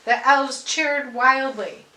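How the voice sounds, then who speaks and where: Bright, high-voiced woman reading a storybook. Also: slow, echoey room, slight background noise, poor audio. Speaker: storybook reader. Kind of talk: expressive children's story read-aloud.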